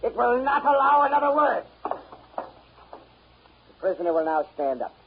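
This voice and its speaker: An actor playing a stern, impatient judge with a pompous, curt official manner in a 1930s radio drama. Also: male voice, very high voice, big pitch swings, unhurried, vocal fry, slight background noise, exaggerated voice. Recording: dull 1930s radio recording.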